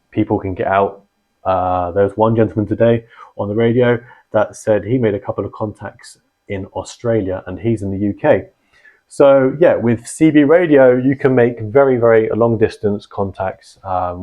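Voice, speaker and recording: Host, male, lowish voice, rambling explainer full of ums, dull lo-fi recording. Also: normal speed. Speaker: host explaining radio gear. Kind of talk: casual explanatory vlog talk. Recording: dull lo-fi sound.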